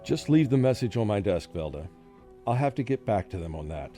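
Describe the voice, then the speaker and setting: A tormented professor played by a man narrates a radio play in a fairly low voice. He sounds fearful and anguished, halting at times and breaking into frantic outbursts. Music plays clearly behind him. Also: very animated, dark tone. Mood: haunted, weary. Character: tormented, fearful male professor under a deadly curse. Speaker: narrating lead in a radio drama. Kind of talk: dramatic first-person radio play narration. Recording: prominent music under the voice.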